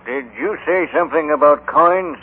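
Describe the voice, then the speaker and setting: Man as a higher-voiced frontier doctor. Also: normal speed, a little hiss or hum, natural voice. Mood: curious, obliging. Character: matter-of-fact small-town frontier doctor. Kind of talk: 1950s radio Western acting. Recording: tinny old radio recording.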